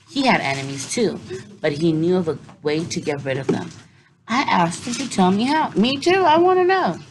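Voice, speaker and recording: A woman teacher reading a picture book aloud to children, tossing in playful asides between lines. Her voice is low yet lively, rising and falling with every turn of the story. Clear hiss and clicks sit under the recording. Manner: expressive, with playful asides to young listeners.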